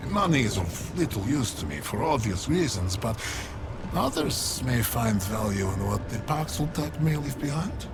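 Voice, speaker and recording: An actor playing a weary, plague-consumed old fantasy creature in a video game, solemn and resigned, with halting archaic phrasing and breathy pauses. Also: male voice, mid-range voice, background noise. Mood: bartering, measured. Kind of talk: video game character dialogue. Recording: loud music over the voice. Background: loud game music.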